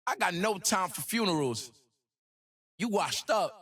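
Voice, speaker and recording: A man's voice: high voice, lively intonation, a little slow, a bit bright, full character voice. A man voicing a cocky young rapper prodigy in a video game, delivering rhymed boasts with a swaggering, rhythmic flow. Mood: cocky taunting. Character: cocky young gifted male rapper prodigy, playful, proud. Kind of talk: rhymed, rap-like game voice lines.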